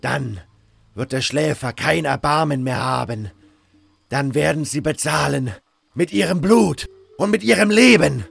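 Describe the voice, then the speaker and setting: A man's voice: on the high side, a little slow, vocal fry, slightly rough, full character voice. A man voicing a fanatical cult preacher in a fantasy audio drama, declaiming solemnly with insistent, rousing repetitions. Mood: menacing, prophetic. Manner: declamatory, rhetorical, repeating phrases insistently.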